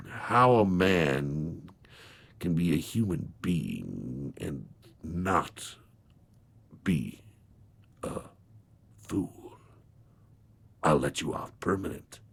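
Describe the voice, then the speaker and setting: A man voicing a grim, patient old reaper from a storybook, gravelly and raspy, with a slow, folksy, wry delivery. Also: very deep voice, voice pushed down, full character voice. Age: older. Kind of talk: dramatized storybook reading.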